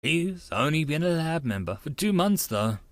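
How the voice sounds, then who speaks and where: A man's voice: on the high side, big pitch swings, moderate pace, no echo. Man doing a blunt, unimpressed student sidekick. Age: young adult.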